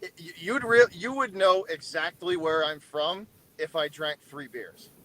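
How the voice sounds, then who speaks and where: A man's voice: a little high, unhurried, sharp tone, rough recording. Rambling Boston man with a raised voice. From Boston, Massachusetts. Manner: rambling, repeats himself, swears casually. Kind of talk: casual chat on a group stream.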